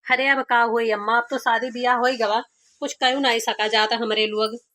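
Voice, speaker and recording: A woman's voice: on the high side, fairly even intonation, moderate pace, pushed and bright. A woman voicing a coaxing, practical village mother with an Awadhi accent in a cartoon comedy. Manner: coaxing, questioning.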